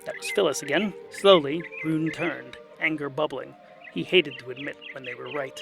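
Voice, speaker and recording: A man's voice: on the high side, fairly even intonation, moderate pace, vocal fry, over music. A man narrating a comic fantasy tale in a wry, dry, scripted manner.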